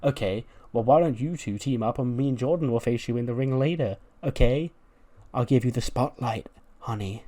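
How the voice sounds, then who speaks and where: A man's voice: medium pitch, moderate pace, warm tone, natural voice. A man voicing a cocky female wrestling champion with a smug, patronising manner, in casual storytelling. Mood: condescending.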